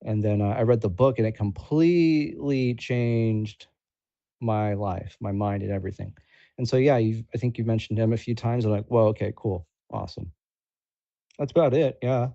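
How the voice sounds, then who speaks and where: A man with a dark, mellow, lowish voice chats casually and haltingly, in loose slangy language. The sound is dull and lo-fi. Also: gravelly, no room sound. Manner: informal, halting, slangy with swearing. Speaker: guest, supporter and content creator. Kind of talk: casual chat.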